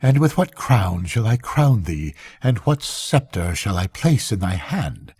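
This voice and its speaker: A man's voice: lowish voice, big pitch swings, a little slow, darkish tone, breathy edge, no room sound, studio quality, exaggerated voice. A man voicing a grave, aged, world-weary bishop in a bedtime storybook, giving solemn counsel in archaic phrases. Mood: grave wonder.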